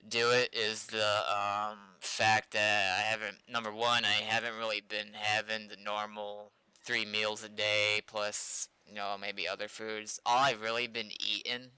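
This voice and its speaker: A man's voice: on the low side, sharp tone, clean tone, no room sound, low-quality recording. A young man rambling casually on a livestream, often trailing off into mumbled asides. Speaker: streamer and game developer.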